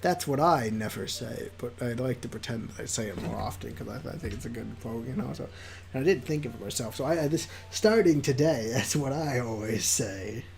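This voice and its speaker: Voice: medium pitch, brisk, gravelly, a little mellow, a little hiss or hum. A streamer playing a visual novel, reading it aloud with goofy, joking asides.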